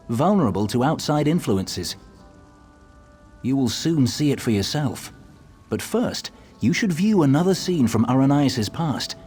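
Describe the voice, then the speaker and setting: An actor playing a wise, earnest storyteller guide in a fantasy video game, measured and solemn. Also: male voice, medium pitch, a little mellow, natural voice.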